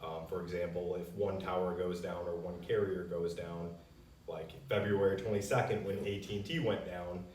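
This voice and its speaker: A man's voice: low voice, flat delivery, moderate pace, darkish tone, a little hiss or hum. An American man who represents a company, explaining answers to customer questions in a plain, conversational way. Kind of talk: casual explanatory Q&A. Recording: roomy echo, distant microphone.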